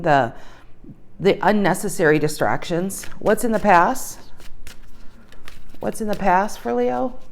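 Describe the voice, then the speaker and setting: A woman's voice: on the low side, gravelly. A woman giving a casual tarot reading to her viewers, thinking aloud and repeating her questions as she pulls cards, her voice slightly worn. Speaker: tarot reader.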